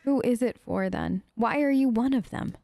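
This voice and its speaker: A woman's voice: fairly high pitch, moderate pace, creaky, warm tone, no echo. A woman doing playful, chatty gameplay commentary, sometimes speaking in character, with a mock-whiny touch. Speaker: gamer doing a role-play let's play.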